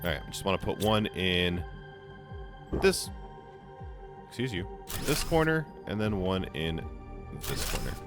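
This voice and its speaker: A young man with a hoarse voice, chatting casually as he plays a game for his channel, rambling with frequent restarts. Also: deep voice, sing-song, a little slow, long pauses, over music. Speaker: gaming channel host. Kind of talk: casual gameplay commentary.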